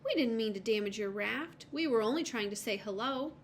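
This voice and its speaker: A woman voicing a group of playful young chestnut boys who call out in very high voices. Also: lively intonation, fairly quick, slight background noise. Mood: friendly. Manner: very high and shouted.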